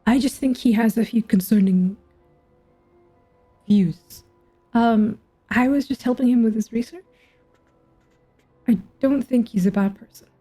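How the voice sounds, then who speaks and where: A woman's voice: fairly high pitch, a little slow, pauses often, mellow, husky, faint music behind. A woman giving casual reaction commentary as she plays a game, informal and musing aloud. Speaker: gaming content creator.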